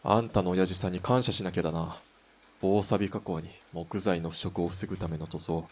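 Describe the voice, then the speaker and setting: A man playing a terse, cool sniper in a Japanese audio drama, deadpan and unhurried when talking shop. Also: deep voice, a little hiss or hum, close to an everyday voice. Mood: cool, absorbed.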